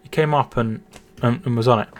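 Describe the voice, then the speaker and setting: A man's voice: mid-range voice, fairly even intonation, a little fast. Chatty man hosting a watch-along. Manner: chatty, repeats words and restarts while reading chat. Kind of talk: casual live-stream chat with commentary.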